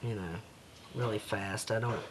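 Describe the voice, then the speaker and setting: A man with a slightly raspy voice talking hesitantly in a casual home video diary, with many pauses and fillers. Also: fairly low pitch, steady tone, noisy background.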